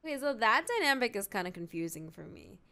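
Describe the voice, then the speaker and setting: A woman's voice: medium pitch, big pitch swings, fast, creaky, bright, forceful tone. A woman streaming a game, giving casual reaction commentary and thinking aloud in an informal, rambling way.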